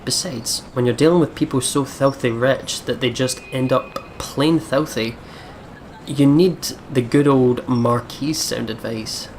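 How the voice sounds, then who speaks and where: Male let's play host: mid-range voice, reads game story aloud and comments, clear background noise. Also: medium pitch, measured pace, darkish tone.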